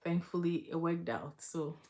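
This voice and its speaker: A woman hosts a casual devotional livestream in a voice a little lower than most women's. She speaks in an earnest, conversational way, moving between personal testimony and reading aloud. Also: flat delivery, unhurried, mellow. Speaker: livestream host.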